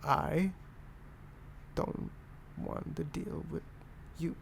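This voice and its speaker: A young man from Indonesia with an altered British accent, talking softly and rambling in a boyfriend ASMR roleplay. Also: fairly high pitch, very animated, extremely slow, vocal fry, slight background noise. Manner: soft, rambling, self-deprecating.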